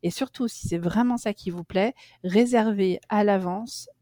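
A woman's voice: medium pitch, measured pace, warm tone, no room sound, clean studio sound. Chatty middle-aged Frenchwoman, hesitant. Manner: chatty, hesitant with many fillers and restarts. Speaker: interview guest, French expat. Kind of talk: casual conversational interview.

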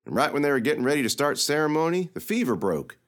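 A man voicing a defiant, sharp-tongued young girl with one arm, speaking in a cheeky, needling way. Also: fairly high pitch, sing-song, quick pace, pitched above the performer's own voice.

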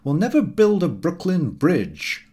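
A man's voice: on the high side, wildly swooping pitch, a little slow, dark tone, pitched above the performer's own voice. A man voicing a pompous, opinionated American guest who lectures a dinner table in grand declamatory style, in a storybook reading. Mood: scornful oratory. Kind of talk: storybook character dialogue.

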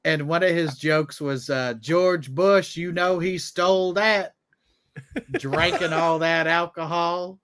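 Male podcast co-host, slightly high voice, informal anecdote telling among fellow comics. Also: steady tone, takes it slowly, fairly bright tone, clean tone. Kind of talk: casual chat, telling anecdotes.

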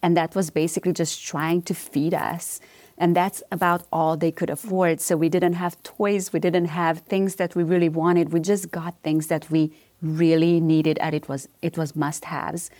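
A woman's voice: on the low side, little pitch movement, normal speed, darkish tone, no echo. Romanian-accented woman telling her story. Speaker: guest.

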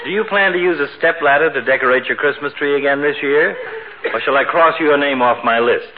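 Actor as droll doctor, tinny radio. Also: male voice, a little high, fairly quick, natural voice. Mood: droll needling. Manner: sardonic, courtly. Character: droll, needling male small-town doctor. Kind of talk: 1940s radio comedy acting. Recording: tinny 1940s radio recording.

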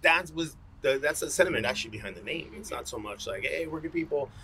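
A man's voice: a little high, expressive, quick pace, sharp tone, husky. A man talking casually as an interview guest, informal and rambling, full of slang. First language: bilingual English and fluent Spanish. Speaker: coffee roaster, interview guest. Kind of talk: casual conversational interview answers.

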